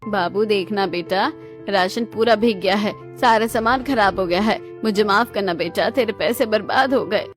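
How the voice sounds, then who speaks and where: A woman puts on a gentle middle-aged mother for a cartoon. She sounds worried and softly admonishing, in a lowered register over music. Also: moderate pace, close to an everyday voice. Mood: sorrowful. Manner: soft, pleading, admonishing.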